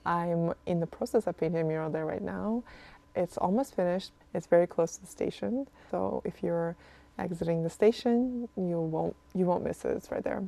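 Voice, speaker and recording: A woman talks casually as a guest in an interview. Her voice sits on the low side and is dark and relaxed, with a noticeable creak. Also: moderate pace. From Kazakhstan, moved to Canada aged ten.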